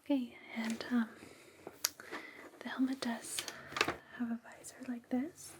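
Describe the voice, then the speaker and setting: A woman performing a whispered ASMR roleplay, gentle, soothing and unhurried, close on a binaural microphone. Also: a little high, level tone, background noise.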